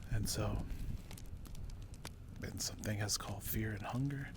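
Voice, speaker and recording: A man voicing a brave but wary barbarian recruiter in a dark fantasy ASMR roleplay, speaking in a hushed, halting way. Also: lowish voice, noisy background, close to an everyday voice. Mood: cautioning, gruff. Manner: slow, hushed, halting delivery with pauses. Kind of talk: ASMR roleplay, whispered and softly spoken.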